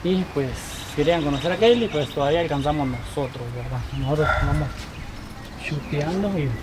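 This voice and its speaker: A man's voice: on the high side, a little fast. A man with a Guatemalan accent, chatting casually and teasingly as a vlogger interviewing guests on an outdoor lakeside terrace, laughing often. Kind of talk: casual chat. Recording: outdoor noise behind.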